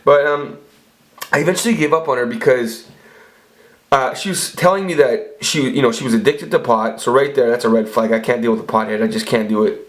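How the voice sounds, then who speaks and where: A man's voice: mid-range voice, fairly quick. A man in his forties telling crude, rambling stories in a casual monologue, swearing often and restarting his sentences. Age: 40s. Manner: crude, profane, rambling storytelling with frequent restarts. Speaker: vlogger.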